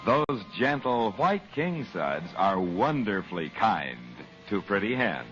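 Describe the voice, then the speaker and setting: A low-voiced American man working as a 1940s radio announcer. His delivery is theatrical and deliberate, with wide swings in pitch. The old broadcast sounds dull, with faint music behind. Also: sing-song, a bit bright.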